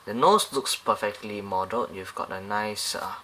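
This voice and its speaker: A man's voice: lowish voice, moderate pace, bright, forceful tone, a little hiss or hum. A man casually reviewing a scale model airliner up close, talking in a loose, rambling way with many fillers and restarts.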